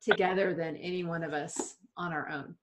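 A woman's voice: on the low side, steady tone, normal speed, noisy background. A woman hosting a podcast interview, eager and affirming as she introduces and responds to her guest. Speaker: podcast host. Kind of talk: casual interview.

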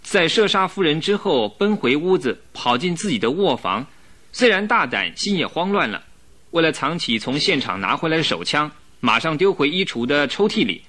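A man's voice: a little high, big pitch swings, moderate pace, pushed and bright, exaggerated voice. A man acting an earnest police inspector in a radio drama, explaining politely with some hesitation.

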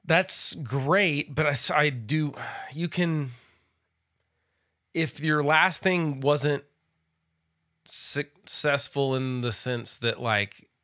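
A man hosting a casual music podcast, rambling and restarting as he tells animated stories. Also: on the high side, very slow, pauses often. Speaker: podcast host. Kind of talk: casual chat about music.

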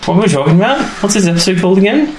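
A man co-hosting a podcast, recapping a story in casual, halting conversation with small asides. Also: high-pitched, expressive. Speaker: podcast co-host recapping a TV episode. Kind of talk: casual chat, episode recap. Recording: roomy echo, faint background noise.

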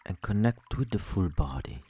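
A man leading a guided sleep meditation, speaking slowly and soothingly with long pauses between phrases. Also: deep voice, lively intonation, warm tone, breathy edge.

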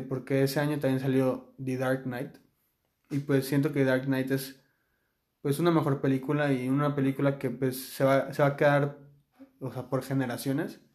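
A man's voice: medium pitch, a little mellow, reverberant. A Mexican man co-hosting a film podcast, talking casually and rambling with lots of fillers. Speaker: podcast co-host. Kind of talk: casual chat.

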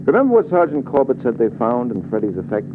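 Actor as wry American detective, old tinny radio. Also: male voice, mid-range voice, big pitch swings, noisy background, lightly acted. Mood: assured, wry. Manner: glib, slangy, unhurried reasoning. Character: wry, self-assured male private detective. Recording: muffled narrow-band old radio recording.

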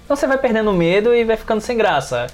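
A man's voice: sits high, big pitch swings, very fast. A man with a Brazilian accent chatting casually as a podcast panelist, acting out reactions and often ending on tag questions. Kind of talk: casual chat.

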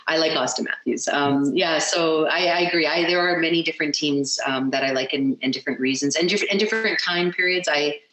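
Middle-aged female interview guest, Canadian accent, low-pitched for a woman, hesitant casual chat, roomy sound. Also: fairly quick, few pauses, fairly bright tone. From Edmonton, Canada. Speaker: interview guest, sports artist.

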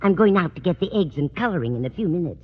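A woman's voice: on the low side, expressive, fast talker, husky. An actress playing a kindly, chatty, scatterbrained older landlady in a 1950s radio comedy, fussy and cheerful. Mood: chirpy. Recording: dull, faintly noisy old radio recording.

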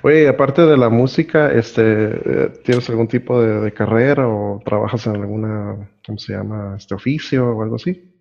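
A man from Costa Rica with a Nicaraguan family interviews his guest in a relaxed, slangy way, mixing in English phrases. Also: fairly low pitch, moderate pace, creaky, lo-fi sound. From born in Costa Rica to a Nicaraguan family. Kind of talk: casual conversational interview.

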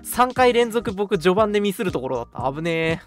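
Lively, laughing male radio host. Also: high voice, fairly quick, faint music behind. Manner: jokey, teasing, laughs often. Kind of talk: casual chat on a live-streamed radio show.

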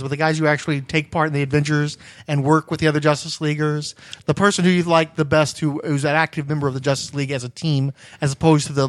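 A man's voice: a little high, no room sound. A man hosting a podcast in casual discussion, probing and explaining as he goes. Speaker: podcast host. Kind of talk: casual discussion about superhero comics.

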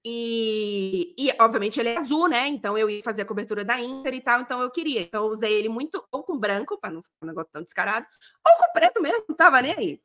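A woman in her thirties with a Brazilian accent, telling stories in a chatty, animated way as a podcast co-host. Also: a little high, quick pace. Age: 30s. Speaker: podcast co-host and football broadcast journalist. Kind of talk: casual podcast chat.

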